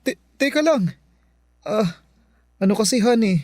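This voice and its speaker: Stammering, pleading Filipino boyfriend. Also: male voice, high-pitched, lively intonation, measured pace, darkish tone, dry recording, studio quality. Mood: pleading, defensive. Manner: stammering, defensive. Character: evasive, pleading live-in boyfriend caught stealing. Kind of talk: Filipino radio drama acting.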